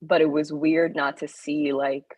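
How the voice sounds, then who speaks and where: A woman's voice: low voice, level tone, a little slow, darkish tone, husky, no echo. A young Kenyan-American woman as an interview guest, chatting in loose run-on phrases and laughing often. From Kenyan-American, raised in the US, Canada and South Africa. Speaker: guest, actor and writer. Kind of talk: casual interview chat.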